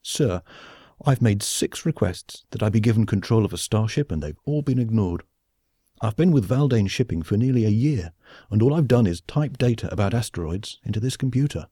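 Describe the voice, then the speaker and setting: A male audiobook reader voicing an eager, earnest young male space officer who speaks in an excitable, old-fashioned way, in a storybook reading. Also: fairly low pitch, normal speed, slightly rough, no echo, studio quality. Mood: indignant.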